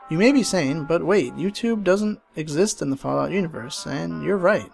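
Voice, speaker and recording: A man with a slightly high, gravelly voice narrates a creepy internet tale. His delivery is measured and dramatic, and music plays clearly underneath. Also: lively intonation. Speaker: narrator reading online horror stories. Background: game music under the voice.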